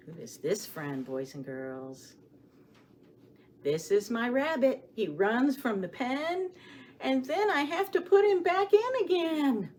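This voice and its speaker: A woman hosting a story time for young children, speaking to them with questions and expressive emphasis as she shares rhymes and picture books. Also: sits high, slow, slight background noise.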